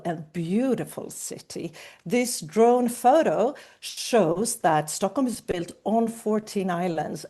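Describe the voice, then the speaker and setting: Woman running a zoom webinar, warm mellow voice, upbeat and clear. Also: on the low side. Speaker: admissions webinar presenter. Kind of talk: presentation. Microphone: video call.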